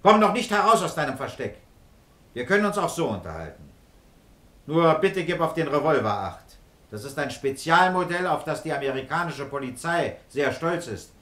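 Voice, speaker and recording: An actor playing a calm, fatherly middle-aged police commissioner in a German radio crime drama, patient and persuasive as he coaxes and probes. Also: fairly high pitch, normal speed, echoey room. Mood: calm persuasion.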